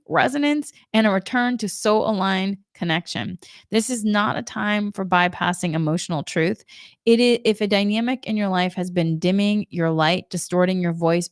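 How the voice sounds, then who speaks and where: Woman with a Boston accent, middle-aged, smooth resonant voice, dry close sound, calm spiritual guidance. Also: mid-range voice, no room sound. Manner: gentle, repetitive, reflective. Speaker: spiritual energy guide, Reiki practitioner.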